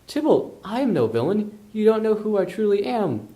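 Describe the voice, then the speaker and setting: A man's voice: sits high, big pitch swings, normal speed, mellow, voice pushed up. A man voicing an earnest, melodramatic, lovestruck teenage boy in a puppet play, in an echoey room. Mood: pleading.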